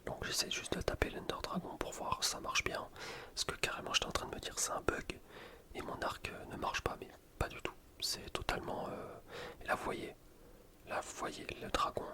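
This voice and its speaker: A man whispering casual, slangy ASMR commentary over gameplay, explaining things in a rambling way. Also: normal speed. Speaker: gaming ASMR video creator.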